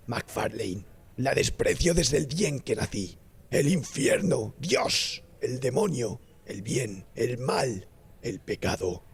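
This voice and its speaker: Man putting on a high-pitched, boastful young student. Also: creaky, husky, heavily acted. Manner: jactancioso, swaggering, exclamatory. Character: boastful, tipsy young male medical student, brash and cynical. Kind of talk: audiobook character acting.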